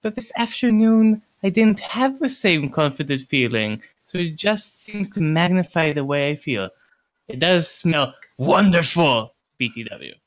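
A woman's voice: deep voice, expressive, a little slow, dry recording. A woman on a podcast, casually reading product reviews aloud. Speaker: podcast reader.

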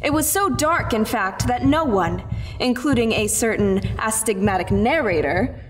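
Woman as florid storyteller, radio play narration, high voice, hall reverb. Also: expressive, moderate pace, lightly acted. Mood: portentous.

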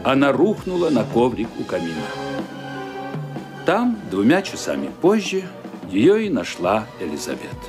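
A man's voice: medium pitch, huge pitch swings, unhurried. A man narrating a radio play in a dramatic, suspenseful reading style. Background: music underneath.

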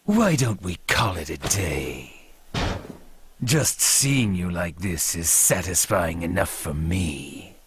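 A man voicing a menacing, battle-hungry shark-man ninja in an English anime dub, gravelly and husky, with a taunting drawl. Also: deep voice, sing-song, slow pace, fairly bright tone, no room sound, heavily acted. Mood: wry, wistful.

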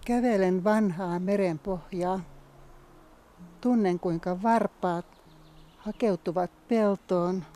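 Mellow-voiced woman reciting poetry. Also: medium pitch, long pauses. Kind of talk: poetic recitation.

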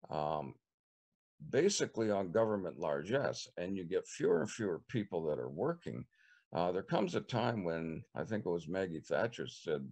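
An older man with a Canadian accent, answering interview questions on a video call from a living room, measured and repeating words as he thinks. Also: low-pitched, gravelly, a little mellow. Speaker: political candidate. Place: living room with leather couch. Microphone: webcam on video call.

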